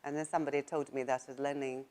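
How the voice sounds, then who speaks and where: Deep-voiced Chinese-accented woman author. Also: level tone, a little mellow, a little hiss or hum. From China. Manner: thoughtful, halting, with frequent fillers and laughs. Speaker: author interviewee. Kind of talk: casual interview.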